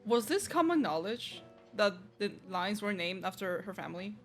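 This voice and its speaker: A woman's voice: medium pitch, very animated, moderate pace. A woman streaming a game, giving casual live reactions in informal, offhand asides. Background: video game music.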